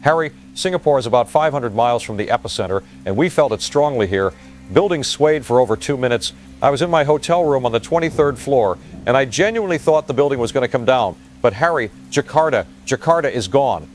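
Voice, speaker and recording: A man's voice: medium pitch, lively intonation, a little fast, soft background music, close to an everyday voice. An actor playing a shaken male field correspondent reporting in an urgent, grave manner. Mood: grave urgency. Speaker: news correspondent. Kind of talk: audio drama news report.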